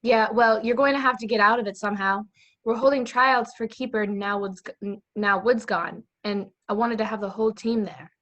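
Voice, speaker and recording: A woman's voice: fairly high pitch, fairly even intonation, moderate pace, fairly bright tone, highs cut off, lightly acted. A woman playing a bossy, exasperated teenage sports captain, brusque and scolding, in an amateur script read.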